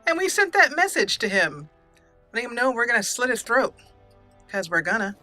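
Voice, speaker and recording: A woman's voice: on the high side, sing-song, normal speed, pushed and bright, soft background music. A woman giving chatty, giggly let's play roleplay commentary, slipping into reading lines in character. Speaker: gaming channel host.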